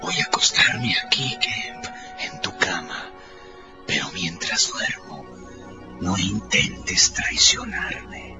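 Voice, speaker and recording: An actor playing a menacing, megalomaniac rogue scientist in a radio drama, with a slow, whispery, theatrical delivery. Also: male voice, low voice, creaky, exaggerated voice. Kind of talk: Spanish-language radio drama acting. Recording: loud music over the voice.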